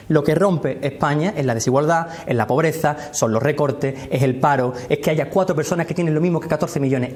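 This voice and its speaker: A man with an Andalusian accent, a party communications officer answering in a TV interview in a measured, argumentative way. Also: a little high, darkish tone. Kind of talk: TV studio interview.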